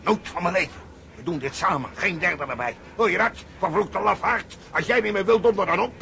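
An actor playing an overwrought, foul-mouthed salvage captain near breakdown in a radio drama. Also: male voice, high voice, a little fast, vocal fry, pushed and bright, a little hiss or hum, heavily acted. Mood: furious. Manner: cursing, pleading, overwrought. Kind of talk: Dutch radio drama acting.

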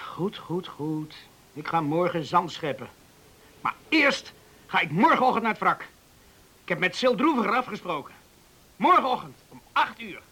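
A man's voice: high-pitched, big pitch swings, moderate pace, long pauses, pushed and bright, slightly rough, full character voice. An actor playing a young male islander and former sailor, wistful and easygoing, in a Dutch radio play. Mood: grudging. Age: young adult. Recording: noisy old radio play recording.

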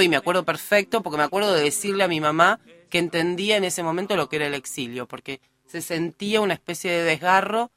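A woman's voice: lowish voice, a bit bright, no echo. A woman in her early forties with a Rioplatense Spanish accent, giving a casual, digressive account of herself as a radio interview guest. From born in Uruguay, raised in Argentina. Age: early 40s. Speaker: interview guest, political candidate. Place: radio studio.

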